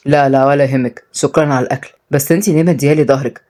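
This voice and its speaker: A man's voice: medium pitch, steady tone, very fast, darkish tone, voice pushed down, close to an everyday voice. A woman voicing a wealthy, widowed government minister, a reserved and fatherly but stern man in an audio story. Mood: apologetic.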